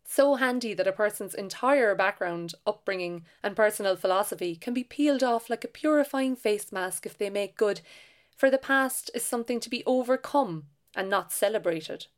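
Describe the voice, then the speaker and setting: A woman's voice: medium pitch, expressive, moderate pace. Woman reading a sardonic essay. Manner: sardonic, wordy.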